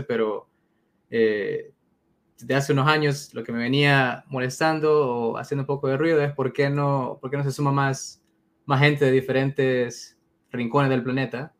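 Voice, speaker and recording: A man's voice: mid-range voice, creaky. A man from El Salvador, a guest answering interview questions thoughtfully with many fillers over a video call.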